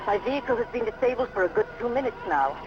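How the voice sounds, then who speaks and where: An actor playing a proud, cool Imperial officer turned defector, with formal, dry speech, in a video game. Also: male voice, extremely high pitch, animated, fast talker, background noise. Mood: indignant. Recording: dull lo-fi game audio, music behind.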